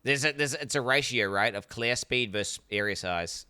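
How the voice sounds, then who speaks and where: NZ-accented male streamer, profane and rambling, crisp clear tone. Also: mid-range voice, moderate pace, sharp tone, no room sound. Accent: New Zealand. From New Zealand. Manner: blunt, profane, rambling with many restarts. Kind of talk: casual reaction stream commentary.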